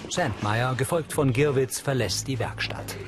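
A man reading scripted audio description narration in a neutral, measured way. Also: mid-range voice, animated, over music.

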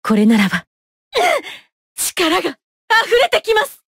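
A woman's voice: extremely high pitch, huge pitch swings, normal speed, vocal fry, no echo, full character voice. An actress playing a poised, haughty young female knight in a fighting game, speaking with formal, imperious politeness and clipped battle cries. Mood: fierce.

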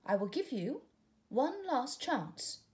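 A woman's voice: high voice, sing-song, slow, darkish tone, pitched above the performer's own voice, full character voice. A woman voicing a tender, hurt caterpillar girl. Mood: reproachful. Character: tender young female caterpillar, hurt and heartbroken.

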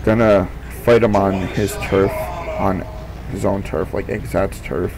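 Young guy talking over his game replay, kinda low voice, lots of ums, hissy noisy mic. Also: steady tone, measured pace. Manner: rambling, filler-heavy, restarts often. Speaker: gamer narrating his own replay. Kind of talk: casual replay commentary.

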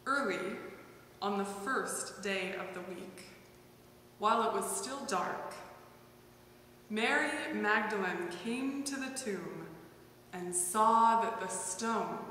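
A woman giving a measured scripture reading from a church pulpit. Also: mid-range voice, a bit bright. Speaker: scripture reader. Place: church sanctuary at a wooden pulpit. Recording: very echoey hall, faint hum.

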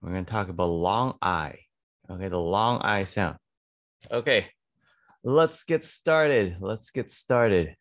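A man in his thirties giving a read-aloud English phonics lesson for children, deliberate and repetitive, close to a desk microphone in a small room. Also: low voice, dry recording. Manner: deliberate, repeats words and sentences. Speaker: English reading teacher for children. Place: small indoor home studio. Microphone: close desk microphone on boom arm.

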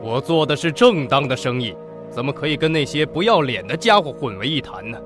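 An actor playing a curt, commanding, crooked company president with a sharp tongue in an audio drama. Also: male voice, medium pitch, lively intonation, fairly quick, music in the background, lightly acted. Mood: stern. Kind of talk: Chinese audio drama acting.

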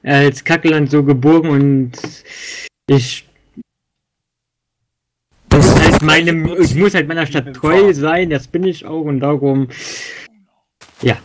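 A man's voice: on the high side, takes it slowly, pauses often, a little hiss or hum. A man from Düsseldorf chatting casually while playing a game, joking and often trailing off mid-sentence. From Germany, Düsseldorf. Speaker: let's play host. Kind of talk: casual gaming chat.

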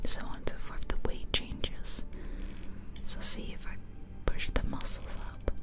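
A woman doing ASMR game commentary in a whisper, casually musing aloud close to the microphone. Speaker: ASMR video creator. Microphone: close microphone, ear-to-ear ASMR.

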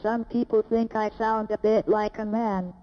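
A speech synthesizer's standard female voice, robotic and monotone, played back in a hall. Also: mid-range voice, unhurried, close to an everyday voice. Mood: flat. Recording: dull playback in a reverberant hall.

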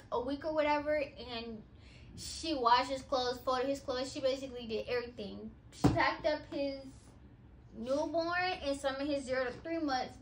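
Young woman, high-pitched, casual vlog chatter, packing in a nursery, camera-mic sound. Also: a little hiss or hum, rough recording. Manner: chatty, talks while packing and folding. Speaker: vlogger. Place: home nursery, indoors. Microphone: camera set on the floor.

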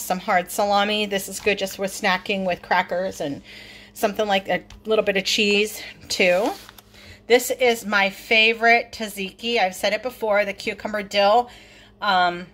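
A woman's voice: medium pitch, fairly bright tone. A middle-aged woman chatting casually with many ums while she shows off her groceries in her home kitchen, filming with a phone. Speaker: vlogger showing a grocery haul. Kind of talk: casual chat over a grocery haul.

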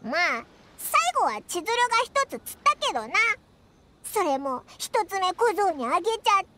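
A woman voicing a small, cheeky, gluttonous floating companion in an anime game, with a squeaky childlike voice and blunt boyish phrasing. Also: big pitch swings, moderate pace, pushed and bright, full character voice. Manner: talks about itself in third person, boyish blunt phrasing.

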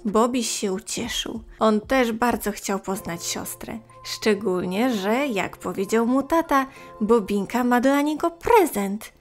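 Female children's audiobook narrator, slightly high voice, gentle and expressive, soft music behind. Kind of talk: scripted storytelling for young children.

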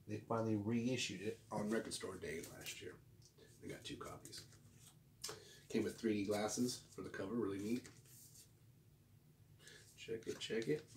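A man with a fairly low voice talks in a relaxed, slangy, show-and-tell vlog style. He rambles casually as he goes. The sound is quite roomy, with noticeable noise behind him. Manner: laid-back, rambling, slangy. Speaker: host of a record collecting video channel. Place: small home room, indoors. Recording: roomy, distant mic with clear background noise.

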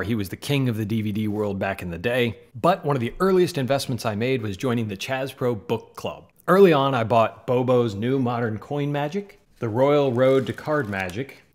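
A man in his thirties with a Midwestern accent, from the American South, talking earnestly to camera as host of a magic channel in a small home studio. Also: fairly low pitch. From Southern United States. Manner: earnest, conversational, gestures with hands. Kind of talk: casual talk to camera. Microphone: studio mic on boom in front.

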